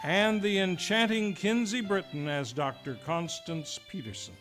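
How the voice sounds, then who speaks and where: Male host, high-pitched, florid golden-age radio announcing, music underneath. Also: measured pace, fairly bright tone, a faint crowd. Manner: grand, florid showman delivery. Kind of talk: theatrical old-time radio announcing. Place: radio show performed live in a theater.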